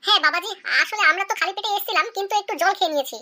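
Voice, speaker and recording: Cartoon comedy village woman played by an actress: piercingly high, fast-talking, chatty and wheedling. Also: sharp tone. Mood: respectful.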